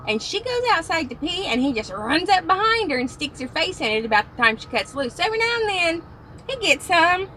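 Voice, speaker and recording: A woman's voice: extremely high pitch, big pitch swings, fairly quick, pushed and bright, poor audio. A woman chatting casually and teasingly as a co-driver in a truck cab. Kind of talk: casual chat.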